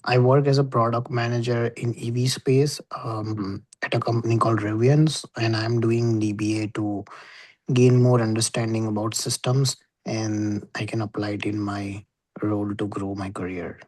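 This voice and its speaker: A man's voice: lowish voice, level tone, moderate pace, darkish tone, dry recording, low-quality recording. A man introducing himself briefly as a student on a video call, speaking in a businesslike way. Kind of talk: self-introduction in class.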